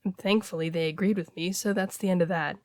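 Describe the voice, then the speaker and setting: A woman's voice: on the low side, quick pace, no echo, close to an everyday voice. Woman acting a blunt, casual friend, dry close recording. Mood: matter-of-fact. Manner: conversational, hesitant, sweary, expressive. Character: casual, blunt heartbreaker friend secretly in love. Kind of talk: intimate one-sided audio roleplay, naturalistic dialogue.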